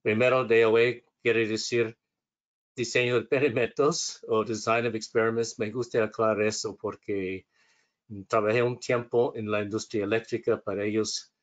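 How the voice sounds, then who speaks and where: Low-voiced man, hesitant. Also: flat delivery, moderate pace, dull audio. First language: English. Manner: hesitant, self-correcting, reading from notes. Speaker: instructor. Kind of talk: webinar lecture.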